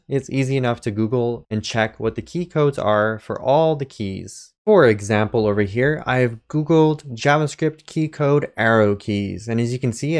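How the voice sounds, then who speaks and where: A man narrating an informal step-by-step programming tutorial as the instructor, explaining things and answering his own questions. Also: lowish voice.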